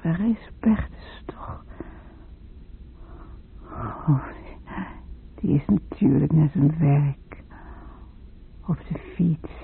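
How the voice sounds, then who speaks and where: An actress playing a confused, muddled female patient in a radio play, muttering in halting, often whispery fragments. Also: fairly low pitch, gravelly, heavily acted. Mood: anxious, bewildered. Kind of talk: radio drama interior monologue. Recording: dull lo-fi radio drama, faint noise.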